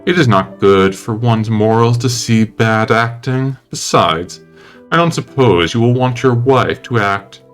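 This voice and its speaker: A man's voice: medium pitch, a little slow, close to an everyday voice. Worldly aristocrat, played by a male narrator, cynical and languid, witty audiobook dialogue over music. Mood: languid, amused. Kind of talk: dramatic audiobook character reading. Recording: music under the voice.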